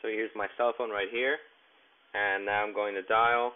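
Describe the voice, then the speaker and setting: Through a narrow, phone-like recording, a man with a lowish voice presents a technical tutorial. He explains each step plainly and instructionally, in halting phrases. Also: level tone. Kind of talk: step-by-step tech tutorial narration. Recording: tinny, narrow phone-like sound.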